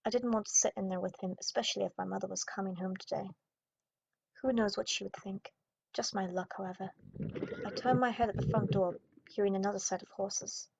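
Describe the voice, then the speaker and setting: A woman's voice: medium pitch, fairly even intonation, a little fast, vocal fry, darkish tone, a little hiss or hum. A young woman gaming YouTuber reading visual novel narration aloud in a dramatic storytelling style. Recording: dull audio, music behind. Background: game music.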